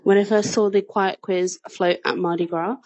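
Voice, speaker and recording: A woman from the UK with a British accent, recounting personal experience in a measured way as a documentary interviewee. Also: mid-range voice, fairly even intonation, a little mellow, no echo, highs cut off. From United Kingdom.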